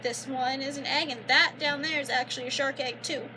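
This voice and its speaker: A young woman reading a children's picture book aloud like a teacher, pausing to explain and prompt with questions. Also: sits high, steady tone, background noise. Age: young adult. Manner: instructive, prompting listeners with questions and affirmations.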